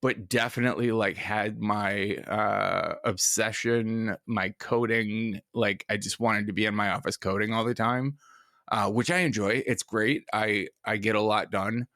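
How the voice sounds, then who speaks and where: A man with a lowish voice hosting a podcast, talking loosely with lots of "um" and "like" and dry jokes. The sound is close and dry, with no room around it. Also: steady tone, a little slow, bright, forceful tone, no echo. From Minnesota. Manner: rambling, self-deprecating, many fillers. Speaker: podcast co-host. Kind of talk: casual podcast chat and ad reads.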